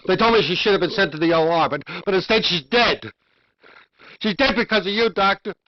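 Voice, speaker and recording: A man's voice: sits high, fairly quick, dry recording, natural voice. A man acting as an emotional loved one of a patient in a live-action hospital game. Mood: grieving, furious. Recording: dull lo-fi game video audio.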